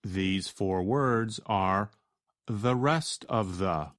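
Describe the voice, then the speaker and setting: An American man with a fairly low voice teaches from a script. He speaks very slowly and deliberately, explaining each point in a measured way. The sound is dry, with hardly any room. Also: fairly bright tone, dry recording. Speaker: teacher answering a listener's question. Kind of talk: slow scripted educational narration.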